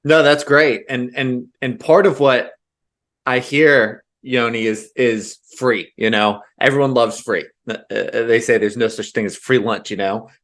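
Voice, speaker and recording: A man's voice: medium pitch, fairly even intonation, unhurried, fairly bright tone. A man hosting a podcast interview, easygoing and affirming, with stammered starts to his questions. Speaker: podcast host.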